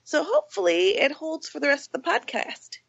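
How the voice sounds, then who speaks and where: This woman sounds congested from a cold, her pitch a touch high. She chats casually on a podcast, light and self-mocking. The recording is a little dull and lo-fi. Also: big pitch swings, brisk, vocal fry, a bit bright. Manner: chatty, laughing, self-deprecating. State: stuffy from a cold. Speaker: podcast co-host. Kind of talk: casual chat.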